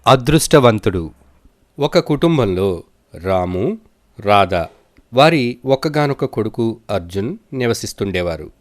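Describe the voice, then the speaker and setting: A man's voice: lowish voice, lively intonation, measured pace, pauses often. A man narrating scripted children's moral stories as a storyteller.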